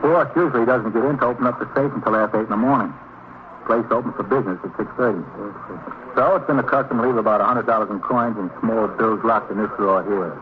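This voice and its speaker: An actor with an American accent playing a dutiful, plain-spoken police sergeant in an old-time radio drama, giving terse, factual and deferential reports. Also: male voice, lowish voice, fast, natural voice. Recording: tinny, noisy old radio recording.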